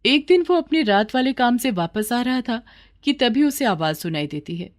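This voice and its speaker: A woman's voice: a little high, expressive, moderate pace. A woman narrating a scripted children's story as the storyteller.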